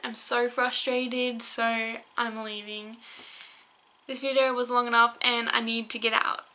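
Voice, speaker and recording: A young woman rants to her viewers in a heated, rambling way. She sounds out of breath and hoarse, as if her voice is giving out. Her tone is bright and sharp, set a little high, yet it barely rises or falls. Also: little pitch movement, a little slow, poor audio. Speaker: vlogger. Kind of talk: angry opinion rant to camera.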